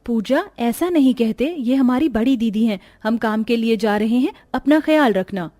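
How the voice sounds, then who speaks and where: Woman voicing a high, earnest orphan big sister. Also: moderate pace, warm tone, no room sound, lightly acted. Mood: gentle, reassuring. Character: caring, sorrowful orphan eldest sister, young girl.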